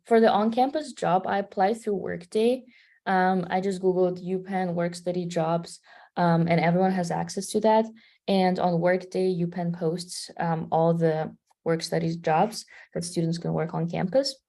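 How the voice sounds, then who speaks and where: Student panelist, young woman from Kazakhstan, mellow and a bit gravelly, talks things through as she goes. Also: on the low side, fairly even intonation, a little slow. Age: 20s. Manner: conversational, thinking aloud between phrases. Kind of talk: panel answer.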